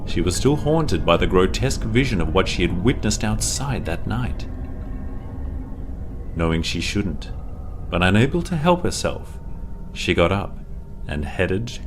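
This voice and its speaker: A man narrating a scripted horror story in a dramatic, suspenseful way, drawing out his pauses. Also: deep voice, very animated, background noise. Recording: music under the voice. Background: music.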